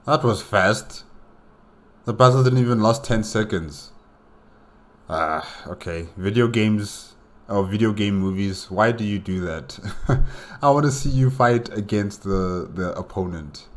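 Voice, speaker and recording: Low-pitched man giving casual commentary. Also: unhurried. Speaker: reaction video host. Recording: faint background noise.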